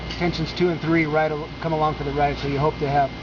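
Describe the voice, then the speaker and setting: A man calmly explaining industrial equipment step by step in a product demonstration, matter-of-fact and a little halting. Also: fairly high pitch. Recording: dull lo-fi camcorder audio with loud background noise.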